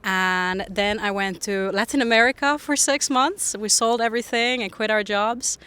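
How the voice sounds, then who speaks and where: Young finnish-native woman chatting outside, smooth clear voice, laughs a lot. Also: mid-range voice, normal speed, sharp tone. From Finland. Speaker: podcast guest. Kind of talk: casual interview chat. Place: public bench by a harbour outdoors.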